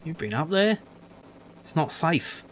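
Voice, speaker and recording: A man voicing a gruff, grumpy older male factory worker, grumbling and dismissive. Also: a little high, big pitch swings, measured pace, a bit bright, slight background noise, pitched above the performer's own voice, poor audio. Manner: grumbling, dismissive, calls others son.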